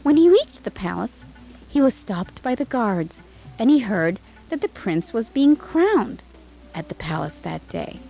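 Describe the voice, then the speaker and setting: A woman narrating a scripted fairytale for children in an expressive, dramatic way. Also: on the high side, unhurried, gravelly, faint music behind, poor audio. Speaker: storyteller.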